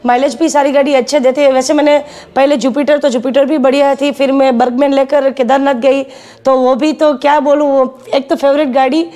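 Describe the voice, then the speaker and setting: A woman's voice: sits high, mellow. A woman in her thirties, a customer giving a testimonial in casual interview answers. She praises enthusiastically and repeats herself, with hesitant fillers, on a lapel mic in an indoor shop office.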